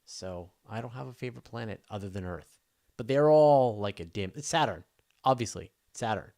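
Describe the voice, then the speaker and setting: A man's voice: on the low side, expressive, moderate pace, a little mellow. Enthusiastic man hosting a live Q&A. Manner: informal and enthusiastic, talking off the cuff. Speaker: host of a live astronomy question show. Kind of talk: casual live Q&A chat.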